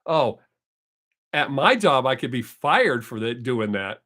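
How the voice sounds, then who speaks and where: A man's voice: mid-range voice, very animated, slow pace, bright, forceful tone. An older man, chatting casually with viewers on his live stream, rambling and correcting himself as he goes, his voice still not fully recovered.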